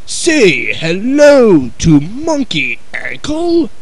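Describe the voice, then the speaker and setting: Man voicing a furious, booming, high-pitched brawling gorilla. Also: huge pitch swings, no echo, heavily acted. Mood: triumphant. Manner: slow, emphatic, booming exclamations. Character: furious male gorilla delivering brawling catchphrases.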